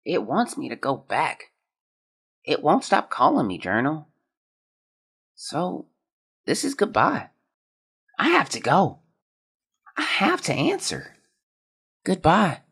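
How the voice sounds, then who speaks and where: Performer as frail yearning teen boy, bright husky voice, wildly varied pitch, audio drama monologue. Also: wildly swooping pitch, takes it slowly, long pauses, exaggerated voice. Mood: yearning, resigned. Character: sickly, dreamy teenage boy writing his journal.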